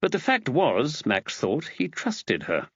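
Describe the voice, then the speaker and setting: A man narrates a literary audiobook in a measured, expressive way. His pitch is middling but moves about a great deal, and the recording is dry and slightly dull. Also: slightly rough, no room sound.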